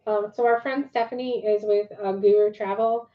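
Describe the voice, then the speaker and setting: A woman co-hosts a livestream in loose, casual chat at an average female pitch. Her voice is starting to go from allergies. The audio is dull and low in fidelity. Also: steady tone, moderate pace. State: losing voice from allergies. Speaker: livestream co-host.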